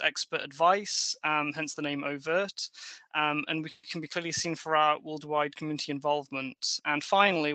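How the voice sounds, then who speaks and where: A man's voice: on the high side, vocal fry, sharp tone, dry recording. A man, an operations manager, giving a formal prepared introduction in a webinar. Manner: formal, reads prepared points. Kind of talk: scripted business presentation.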